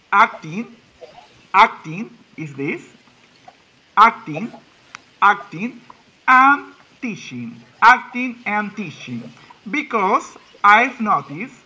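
A man's voice: high voice, pushed and bright, low-quality recording. A man, teaching English on a video call and repeating his phrases as he coaxes. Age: 20s. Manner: repeats his own phrases, coaxing and encouraging. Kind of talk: online English conversation class. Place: small room indoors. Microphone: laptop webcam on video call.

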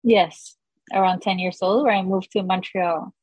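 A woman chef in her thirties from Venezuela speaks at a middle pitch as a podcast guest. She answers easily, often with "you know" and "kind of". The sound is dry and close. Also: unhurried, husky, dry recording, lo-fi sound. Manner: relaxed, uses fillers like "you know". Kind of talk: interview.

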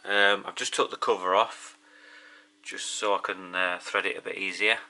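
A man's voice: low voice, fairly even intonation, normal speed, bright, forceful tone. A middle-aged man with a Yorkshire accent giving a plain-spoken, slightly hesitant casual vlog narration at home. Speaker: video host. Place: indoors in a home living room.

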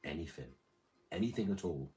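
A man in his thirties with a very deep, slightly damaged voice, chatting casually and crudely while reading his livestream chat in a small room. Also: lively intonation, fairly quick, mellow. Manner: rambling, crude, jokey. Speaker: livestream host. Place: small room with red curtain and red light.

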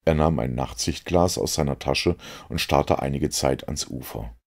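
Very low, husky male narrator. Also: normal speed. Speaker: audiobook narrator. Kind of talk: scripted story narration in first person.

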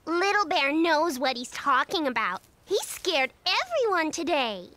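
A woman voicing a silly, excitable female duck in a children's cartoon. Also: high-pitched, measured pace, dry recording, full character voice. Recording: faint music behind the voice.

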